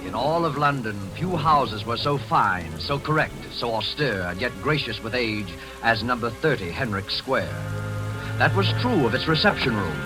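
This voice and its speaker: A man announcing and narrating a 1940s radio drama with a measured, dramatic delivery. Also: medium pitch, sing-song, rough recording. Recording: old radio broadcast over music.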